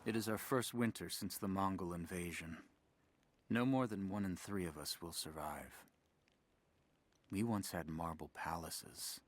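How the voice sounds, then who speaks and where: An actor voicing a grave male chronicler of a fallen people in solemn, measured game narration. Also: low-pitched.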